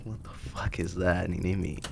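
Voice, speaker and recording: A performer voicing a casual, halting young hellhound in a fan comic dub. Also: sits low, fairly even intonation, creaky, slight background noise. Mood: casual storytelling.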